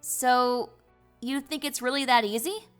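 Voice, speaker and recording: A woman's voice: high voice, unhurried, fairly bright tone, clear, resonant tone, soft background music. A woman voicing an anxious, earnest young pony princess in an audio story, hesitant and questioning. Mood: tentative. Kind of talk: scripted character voice in audio story.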